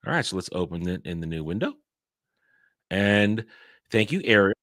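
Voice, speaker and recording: A man hosting a casual livestream, rambling and excitable, restarting his sentences often. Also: lowish voice, normal speed, no room sound, clean studio sound. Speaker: livestream host.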